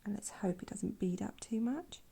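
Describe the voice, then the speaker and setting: A woman with a croaky voice from a sore throat, softly talking through a painting tutorial in quiet, half-whispered asides. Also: mid-range voice, expressive, moderate pace. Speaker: art channel host. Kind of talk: soft-spoken painting tutorial.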